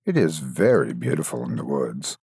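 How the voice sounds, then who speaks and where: A sly, hungry wolf from a storybook, as a male reader plays him. He coaxes and threatens in a deep, husky, gravelly voice. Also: very animated, normal speed, darkish tone, no room sound, clean studio sound, full character voice. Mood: wheedling. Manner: smooth-talking, wheedling, menacing. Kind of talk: fairytale storybook reading.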